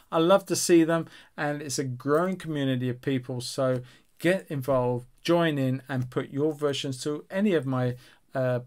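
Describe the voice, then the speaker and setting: A man in his sixties hosting a painting tutorial, chatting casually and encouragingly to his viewers. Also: medium pitch. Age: 60s. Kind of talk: casual instructional chat to viewers.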